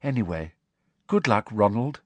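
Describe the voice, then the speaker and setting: Dreamy, eccentric schoolgirl for a storybook played by a man, lilting higher, soft husky edge. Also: very animated, a little slow, dry recording. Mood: happy, airy. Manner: vague, dreamy. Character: vague, dreamy, eccentric teenage schoolgirl, cheerful.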